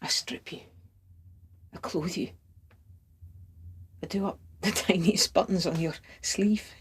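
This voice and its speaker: A woman playing a tormented, lovestruck poetic suitor in an impassioned stage monologue, husky and often breathy, in short repeated phrases. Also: fairly low pitch, measured pace. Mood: hushed, worshipful.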